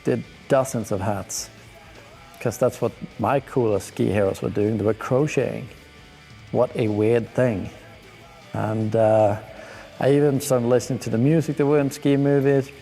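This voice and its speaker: Gravelly, mellow guy. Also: medium pitch, steady tone, a little slow, soft background music. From Sweden. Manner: laid-back, slangy and enthusiastic. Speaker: pro skier. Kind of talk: casual reaction commentary on video clips.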